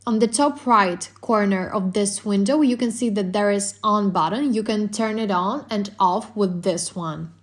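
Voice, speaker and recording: A woman narrates a software tutorial in a medium-pitched voice. She follows a script and explains things methodically, moving through the instructions step by step. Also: measured pace, few pauses.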